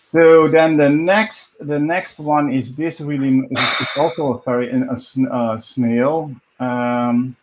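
Man, middle-aged, Dutch accent, native Dutch speaker, halting delivery, informal online walkthrough. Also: mid-range voice, fairly even intonation, background noise. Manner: halting, repeats words, restarts often. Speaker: live stream presenter. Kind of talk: informal screen-share walkthrough. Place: indoors at home, on a video call. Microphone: video call microphone.

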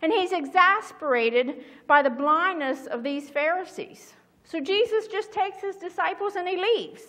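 A middle-aged woman pastor giving a sermon from a church pulpit, speaking in a measured, earnest way. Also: extremely high pitch, fairly bright tone. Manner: measured, earnest reading aloud. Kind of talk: sermon with scripture reading. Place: church sanctuary behind a pulpit. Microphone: small mic at the pulpit. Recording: long church reverb.

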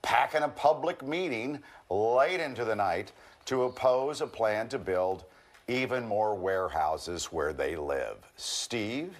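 An older man anchoring morning news in a studio, chatty and lively as he hands off and reacts. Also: on the low side, slow pace.

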